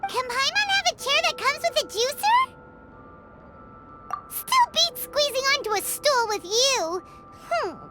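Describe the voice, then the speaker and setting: Tiny cheeky fairy girl for a game: squeaky, extremely high, piercingly bright. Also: very animated, unhurried, long pauses, faint music behind, full character voice. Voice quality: squeaky, childlike. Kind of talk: video game voice acting.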